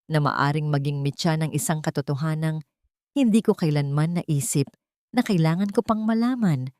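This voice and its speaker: A woman reading a scripted first-person story in a solemn, melodramatic, deliberate manner. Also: low-pitched, darkish tone, no echo, clean studio sound.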